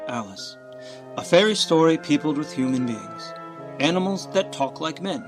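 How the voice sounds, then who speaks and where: A man playing a reflective author introducing his tale in an old-time radio drama, measured and formal. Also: on the high side, lively intonation, music in the background, lightly acted. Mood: wistful, reflective.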